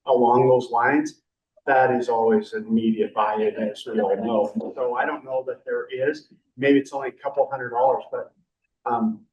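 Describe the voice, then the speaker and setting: A man's voice: medium pitch, darkish tone. A man chairing a council meeting, chatty and rambling, with light joking. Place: public meeting room. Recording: echoey room from a distant mic.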